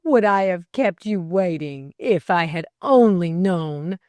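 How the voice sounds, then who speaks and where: A woman plays an imperious storybook gentleman with big, swooping delivery. He is pompous and bantering, drawing out his words in a slow, theatrical way. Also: on the low side, lively intonation, no echo, studio quality. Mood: teasing. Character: imperious, teasing, theatrical gentleman master of the house.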